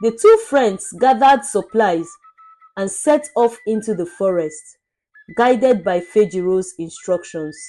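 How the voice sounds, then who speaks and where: Slow, animated woman storyteller over music. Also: mid-range voice, rough recording. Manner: dramatic, deliberate storytelling. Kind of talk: scripted folktale narration. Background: background music.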